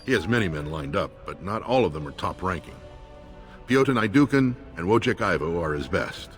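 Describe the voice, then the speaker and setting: A man's voice: low-pitched, lively intonation, moderate pace, faint music behind. An actor playing an earnest dwarven lord's aide in a video game, speaking formally as he gives a briefing. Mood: earnest, businesslike. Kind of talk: video game character acting.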